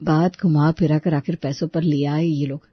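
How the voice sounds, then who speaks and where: A woman's voice: low-pitched, fairly even intonation, moderate pace, slightly rough, no echo, highs cut off, pitched below the performer's own voice. A woman voicing a young, outspoken, skeptical sister-in-law in a story drama, blunt and exasperated. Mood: cynical.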